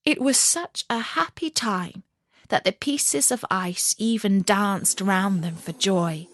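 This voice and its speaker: A woman storyteller reading a scripted fairy tale in an expressive, measured way. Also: mid-range voice, no room sound.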